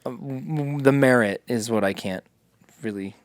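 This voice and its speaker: Smooth-voiced man joking around. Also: mid-range voice, a little slow, dry recording. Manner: joking, profane banter. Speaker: podcast host. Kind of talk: casual chat. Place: podcast studio.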